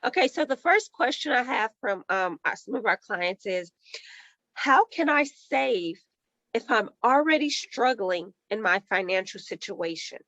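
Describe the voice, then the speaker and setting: Hoarse woman kinda high voice, reads out client questions, dry dull sound. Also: expressive, measured pace, fairly bright tone, no room sound. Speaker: client relations manager. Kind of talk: reading out viewer questions.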